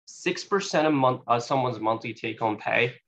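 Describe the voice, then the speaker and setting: A man's voice: mid-range voice, animated, normal speed, fairly bright tone, slight background noise. A young man gives a motivational speech at a sales meeting, talking casually with many fillers and sounding a little sniffly from allergies. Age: young adult. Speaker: guest speaker at a sales meeting. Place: meeting room. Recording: dull lo-fi recording.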